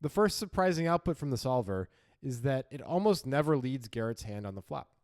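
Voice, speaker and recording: A man's voice: mid-range voice, big pitch swings, brisk, a little mellow, dry recording. Male podcast co-host, wry and casual, mid-range voice, close dry studio sound. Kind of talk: casual hand analysis commentary.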